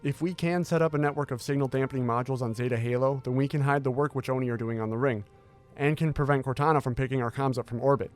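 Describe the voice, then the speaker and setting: Music plays under an actor's portrayal of a determined male intelligence scientist in an audio drama. He has a medium-pitched voice and delivers his words in a formal, measured, authoritative briefing manner. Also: steady tone, close to an everyday voice. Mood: formal, explanatory.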